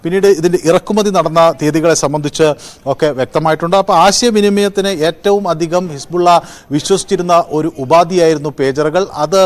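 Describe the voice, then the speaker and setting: A man with a Kerala accent giving an unscripted live news report in halting, pause-filled phrases. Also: fairly high pitch.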